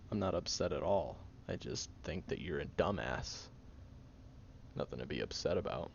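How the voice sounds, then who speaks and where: A man playing a prickly, guarded young loner who grudgingly cares, in an intimate one-sided audio roleplay, sardonic and hesitant with breathy murmurs. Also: very deep voice, animated, unhurried, exaggerated voice. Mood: gruff, teasing. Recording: muffled, lo-fi, noticeable background hiss.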